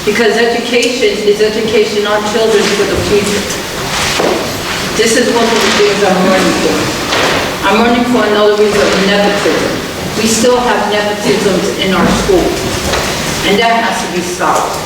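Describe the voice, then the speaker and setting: An older woman, a school board candidate, giving an earnest, plain-spoken and halting speech at a debate. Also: mid-range voice, steady tone. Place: large hall at a debate. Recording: echoey hall from far off, noisy.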